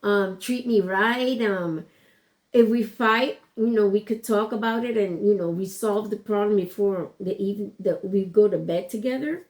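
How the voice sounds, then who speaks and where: A woman's voice: medium pitch, normal speed, darkish tone. A woman co-hosting a podcast in casual chat. She speaks bluntly and frankly, trailing off into hesitations. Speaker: podcast co-host.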